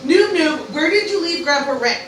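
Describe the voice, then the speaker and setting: A woman's voice: high voice, animated, moderate pace, fairly bright tone. A woman playing an earnest, grieving teenage girl as a Roman soldier, lamenting dramatically in an amateur Shakespeare radio parody. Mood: worried. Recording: very echoey, distant mic, faint noise.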